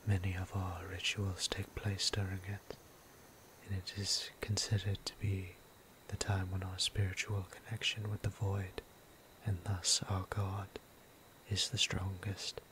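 A gentle vampire priest played by a man in an ASMR roleplay, whispering with formal, tender courtesy. His low voice stays very flat and moves slowly, with ambient sound behind it. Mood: reverent, explaining. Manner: formal, hushed, unhurried, tender, with deliberate pauses. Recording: clear ambient background noise.

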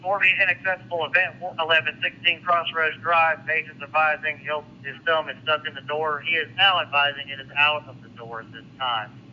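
A man with a fairly high, very sharp voice reports an incident as a dispatcher. He is heard over a radio with faint noise. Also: steady tone, brisk, muffled recording. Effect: radio transmission. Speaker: emergency dispatcher.

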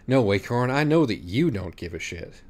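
A man's voice: mid-range voice, big pitch swings, moderate pace, a little mellow. A man voicing an aloof, theatrical man who judges sins in an audiobook, pedantic and self-important. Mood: stern, aloof. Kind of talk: audiobook character voice.

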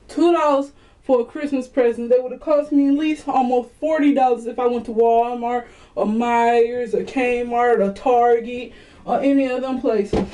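A woman's voice: on the high side, dark tone, slight background noise. A woman, chatting animatedly to viewers in a casual haul video from a small bedroom, recorded on a webcam. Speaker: vlogger.

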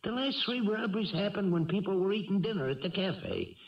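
An actor playing a cocky, taunting burglar with slangy talk in a 1970s radio drama. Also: male voice, high voice, animated, a little fast, gravelly. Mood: gloating. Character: sneering, cocky male burglar with a gun. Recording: dull 1970s radio recording.

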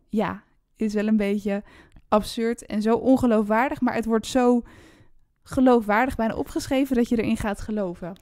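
A woman talks casually as a book podcast co-host. Her voice is mellow and sits at an average pitch. The sound is very dry, with almost no room to it. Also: no room sound.

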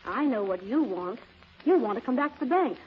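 An actress playing an earnest, naive young secretary in a theatrical 1930s radio drama style, with precise diction. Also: female voice, on the high side, animated, moderate pace, lightly acted. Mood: prim, defensive. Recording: muffled, noisy old 1930s radio recording.

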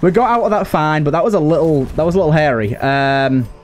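A man's voice: on the high side, a little fast. A man giving casual let's play commentary as a gaming host, talking to himself and to the characters on screen. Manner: talks to himself and to game characters.

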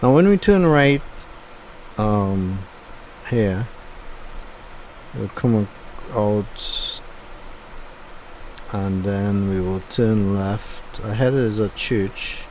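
A man with a Trinidadian accent giving a low-key, unenthusiastic running commentary while driving. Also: expressive, drawn-out words, pauses often, darkish tone, slight background noise, poor audio. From Trinidad and Tobago. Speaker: driving vlogger. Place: inside a moving car.

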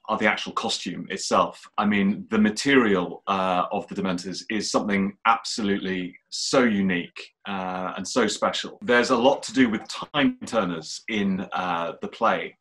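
A man's voice: fairly low pitch, sharp tone. A man in his forties hosting an online panel and putting questions to guests from his living room. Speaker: host of an online cast panel. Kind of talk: interview questions and presenting. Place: living room at home, video call.

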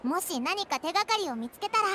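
A woman voicing a tiny, bossy and excitable fairy companion girl who talks in a brash, boyish way, in a video game. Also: very high voice, a little fast, heavy background noise. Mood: eager. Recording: game audio with loud music.

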